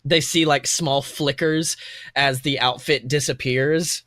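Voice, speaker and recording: A man's voice: medium pitch, fairly even intonation, takes it slowly, a bit bright, dry recording, studio quality. A man improvising narration as game master in a tabletop roleplaying game, in an informal, meandering, slangy storytelling way.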